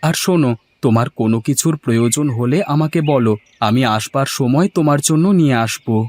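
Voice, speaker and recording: A man's voice: medium pitch, fairly quick, a little mellow, lightly acted. A man voicing a poor, caring village farmer in a moral-story cartoon, earnest and plaintive. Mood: resolved.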